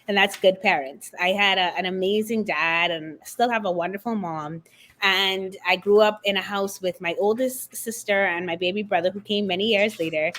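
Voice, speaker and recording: A middle-aged woman from the Bahamas speaks as an interview guest, her voice sitting at an ordinary pitch for a woman. She talks in an animated, expressive way, building her story with warmth of conviction and references to faith. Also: normal speed, a little hiss or hum. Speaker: guest author and confidence coach. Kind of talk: conversational interview.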